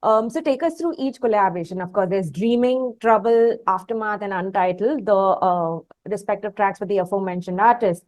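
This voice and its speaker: A woman's voice: mid-range voice, a little fast, few pauses, a little mellow, no echo. Woman interviewer, close dry sound. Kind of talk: conversational interview questioning.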